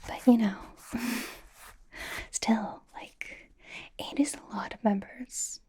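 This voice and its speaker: A woman plays a young female K-pop idol in an ASMR roleplay, speaking in a very breathy whisper with a soft, mellow tone. She is bubbly and encouraging, rambling reassuringly in casual, giggly phrases as she fusses over a newcomer. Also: a little high, steady tone, slow, full character voice. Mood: friendly, soothing. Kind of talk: ASMR personal-attention roleplay.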